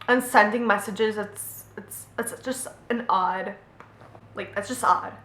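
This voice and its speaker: A woman's voice: medium pitch, slow pace, a bit bright. An American woman of eighteen telling a casual storytime and reading out messages in a slangy, self-mocking way, in an echoey room. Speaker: vlogger.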